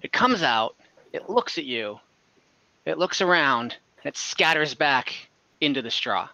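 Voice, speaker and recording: Bright-voiced man running a tabletop game. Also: a little high, lively intonation, measured pace, vocal fry, dry recording. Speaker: game master. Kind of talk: narrating a tabletop role-playing game, casual. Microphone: computer microphone on a video call. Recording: dull, compressed online call audio.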